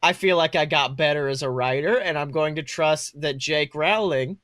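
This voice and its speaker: A man's voice: fairly high pitch, moderate pace, fairly bright tone, dry recording, clean studio sound. A man co-hosting a podcast, bantering casually in an irreverent, slangy, foul-mouthed way. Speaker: podcast co-host.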